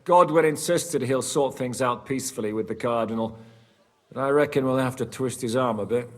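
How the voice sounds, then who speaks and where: An actor playing a practical, plain-spoken leader of a medieval band in a naturalistic game cutscene. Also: male voice, medium pitch, a bit bright, close to an everyday voice. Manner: blunt, matter-of-fact.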